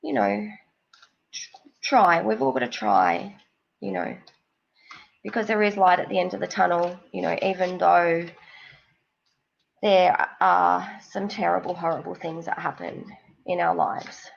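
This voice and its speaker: A woman with a cold and a snuffly nose, giving psychic card readings on a live stream in a slow, rambling, reassuring way. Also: on the low side, fairly even intonation, vocal fry, highs cut off.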